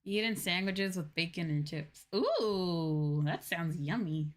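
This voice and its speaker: A woman's voice: on the low side, slow pace, a little hiss or hum. A woman chatting casually on a game livestream and reading game dialogue aloud. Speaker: streamer playing a video game.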